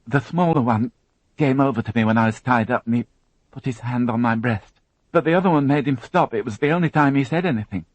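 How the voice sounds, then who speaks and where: A man voicing a frightened young woman who witnessed a crime, tearful and halting, repeating her words as she talks. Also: mid-range voice, no echo, pitched above the performer's own voice, close to an everyday voice, rough recording. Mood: shaken.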